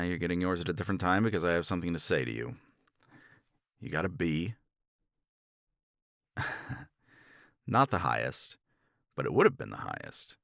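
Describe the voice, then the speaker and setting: Man acting a gravelly, low-voiced sardonic professor. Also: a bit bright, dry recording, studio quality, heavily acted. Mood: stern, lecturing. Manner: sardonic, halting, articulate. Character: blunt, proud male college professor, awkwardly apologetic. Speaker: voice actor in audio roleplay. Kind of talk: romance ASMR roleplay drama.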